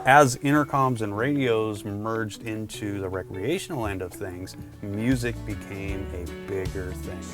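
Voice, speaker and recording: A man's voice: lowish voice, a little slow, hardly any pauses, loud music behind the voice. A man presenting product tips in a casual, conversational instructional style, talking directly to the viewer.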